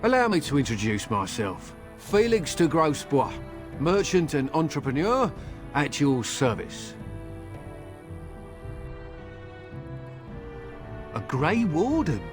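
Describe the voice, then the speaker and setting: A hapless travelling merchant for a fantasy video game. He talks in a nervous, rambling way and keeps tacking questions onto his own remarks. Faint music plays behind him. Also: fairly high pitch. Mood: courteous, impressed. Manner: flustered, rambling, self-questioning. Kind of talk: video game dialogue acting.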